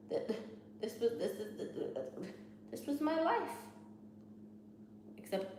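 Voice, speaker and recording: A woman with a Haitian Creole accent, rambling candidly about her studies in a study vlog and often restarting her sentences. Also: medium pitch. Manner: talks candidly, restarts and repeats herself. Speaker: student vlogger. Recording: very echoey room with noise.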